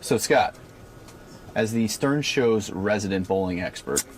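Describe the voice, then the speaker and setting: A man's voice: lowish voice, animated, a little slow. A man working as a radio interviewer, putting casual questions to his guests. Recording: clear background noise.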